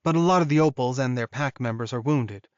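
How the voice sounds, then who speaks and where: A man voicing a bright, friendly young female wolf-shifter in an audiobook, breezy, informal and teasing. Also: medium pitch, fairly quick, dry recording, highs cut off, lightly acted. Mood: warm, admiring.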